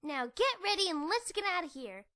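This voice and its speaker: A tiny girl pony with an extremely high, childlike voice. The filly is sweet, excitable and wholly earnest, acted in cartoon audio drama style. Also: normal speed, exaggerated voice. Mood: excited.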